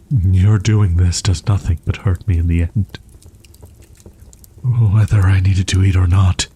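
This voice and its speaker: A man playing a proud, wounded young wolf-boy in an audio roleplay, stammering in archaic, formal phrasing with a husky, breathy voice. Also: deep voice, warm tone, heavily acted. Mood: bitter, wounded. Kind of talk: roleplay audio drama, ASMR.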